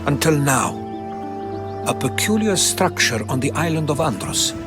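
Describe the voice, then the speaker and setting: An actor playing a learned, secretive older Greek historian in a video game, speaking in a measured storytelling way. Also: male voice, fairly high pitch, sing-song. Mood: solemn, reflective. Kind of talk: dramatic video game dialogue. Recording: game music under the voice.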